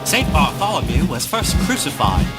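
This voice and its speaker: A man's voice: a little high, sing-song, normal speed. A man playing a macabre reciter of atrocities, declaiming in a spoken word play. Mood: grim. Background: loud music.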